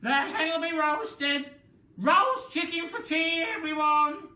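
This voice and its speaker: A head teacher voicing a bossy, cackling old grandmother who scolds and mocks loudly. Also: medium pitch, very animated, measured pace, pitched above the performer's own voice. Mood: mocking. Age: older. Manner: loud, scolding, mocking. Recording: roomy sound from a distant mic.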